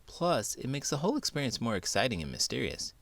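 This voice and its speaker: A man hosting a children's read-aloud, speaking in an expressive, playful storytelling style. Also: medium pitch, fast talker, no echo. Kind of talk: lively children's storytelling and chat.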